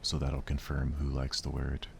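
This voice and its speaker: A soft-spoken man doing ASMR let's play commentary on a game, murmuring to himself without hurry over some audible background noise. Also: extremely low pitch, monotone, moderate pace, creaky. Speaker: gaming streamer playing a visual novel.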